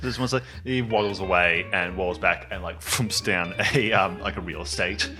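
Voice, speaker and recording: A man chatting and improvising narration as a game master, in a halting, descriptive way. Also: low voice. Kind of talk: improvised narration and casual chat among friends. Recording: music behind the voice.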